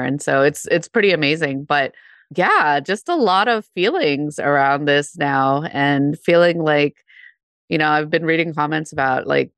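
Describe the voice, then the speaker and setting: Low gravelly woman podcast host. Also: a bit bright, no room sound, studio quality. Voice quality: nasal. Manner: chatty, laughs often, many fillers. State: under the weather, congested. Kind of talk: casual podcast chat.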